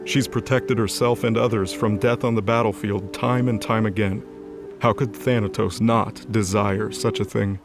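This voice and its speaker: A man's voice: lowish voice, fairly even intonation, normal speed. A man reading scripted, dramatic story narration as the narrator of an audio drama. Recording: music under the voice. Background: music.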